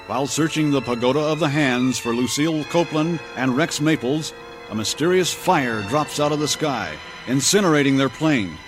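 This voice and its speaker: A man's voice: mid-range voice, moderate pace. A man announcing and narrating a pulp adventure radio serial in a grand, theatrical, scripted style. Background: dramatic music.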